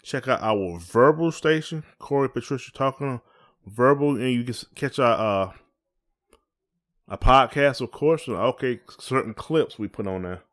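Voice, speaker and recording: A man's voice: medium pitch, moderate pace, a bit bright. A man co-hosting a casual podcast, talking in a folksy, rambling way and repeating his phrases. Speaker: podcast co-host. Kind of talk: casual conversational podcast chat.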